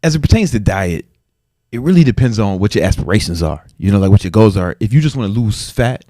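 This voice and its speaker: A man's voice: fairly low pitch, lively intonation, fast, warm tone, no room sound, studio quality. A man in his forties, a fitness trainer guesting on a podcast, talking in a laid-back, slangy way and laughing often. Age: 40s. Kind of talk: casual interview.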